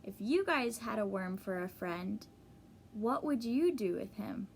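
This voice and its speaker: A woman teacher reading a children's storybook aloud, pausing to explain and ask young listeners questions. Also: mid-range voice, lively intonation, vocal fry, a little hiss or hum.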